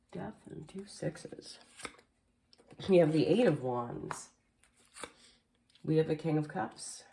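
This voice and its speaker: A woman reading tarot cards as a casual voice-over, sounding a little nasal and hoarse from allergies, chatty and full of "like" and "um". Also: deep voice, mellow. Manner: chatty, filler-heavy, frequent "like" and "um". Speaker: tarot reader. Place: home, voice-over behind the camera.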